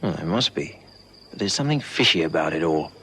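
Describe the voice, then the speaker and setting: Low-voiced, grudging South African neighbour. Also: male voice, animated, brisk, bright, forceful tone, breathy edge, full character voice. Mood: grudging, suspicious. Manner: blunt, grumbling. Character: grudging, suspicious, self-respecting poor male neighbour. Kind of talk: old radio drama acting.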